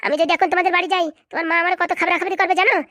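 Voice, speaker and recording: A woman's voice: very high voice, fairly even intonation, very fast, a bit bright, no echo. A woman playing an aggrieved, stubborn young wife, argumentative and emphatic, in a comic cartoon. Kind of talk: comic cartoon drama dialogue.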